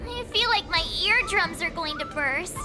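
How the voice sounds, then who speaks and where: A woman's voice: very high voice, normal speed. An actress playing a nervous, chatty girl, a beginner swordswoman about to compete, in a game cutscene. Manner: anxious, chatty and excitable. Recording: game audio with music behind.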